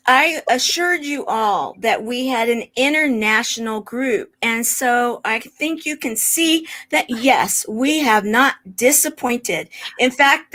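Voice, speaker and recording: A woman's voice: on the high side, pushed and bright. A woman hosting an online panel, calling on each guest in turn. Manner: leisurely, introducing each guest by name. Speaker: panel host.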